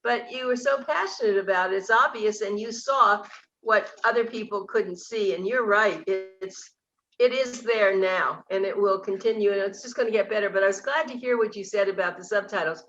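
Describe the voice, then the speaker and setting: A woman's voice: medium pitch, normal speed, fairly bright tone. Woman, interview host, chatty, enthusiastic, lo-fi muffled sound. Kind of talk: casual interview conversation.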